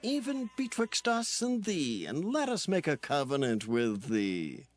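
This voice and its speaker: A man playing an imperious Philistine king in a dramatized scripture reading, declaiming in drawn-out phrases. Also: on the high side, very animated. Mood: formal, conciliatory.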